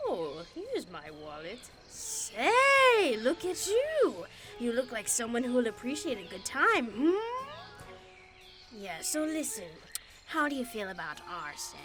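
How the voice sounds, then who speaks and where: A woman's voice: fairly high pitch, wildly swooping pitch, takes it slowly, over loud music, exaggerated voice. A woman playing a sly, playful villainess with a singsong, flirtatious manner in a comedic radio play.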